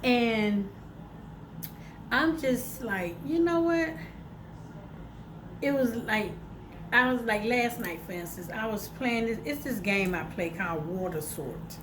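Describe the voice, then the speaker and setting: A woman's voice: fairly high pitch, lively intonation, takes it slowly, pauses often, noisy background. A woman with a congested, stuffed-up voice, talking casually and encouragingly to her viewers at home, full of fillers. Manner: chatty and informal, addresses viewers as y'all, many fillers. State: congested from sinuses. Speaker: vlogger. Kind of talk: casual motivational vlog to viewers. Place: at home, by a window.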